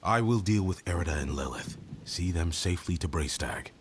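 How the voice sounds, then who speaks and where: Deep, grave, resolute hero. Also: male voice, animated, fairly quick, a little hiss or hum. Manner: grave, terse. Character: stern, resolute male hero and protector. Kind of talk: video game hero acting.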